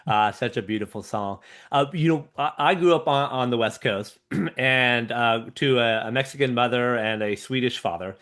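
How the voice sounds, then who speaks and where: A middle-aged man hosting an interview, speaking in a halting way with many restarts. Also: medium pitch, a bit bright. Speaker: interview host. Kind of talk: conversational interview.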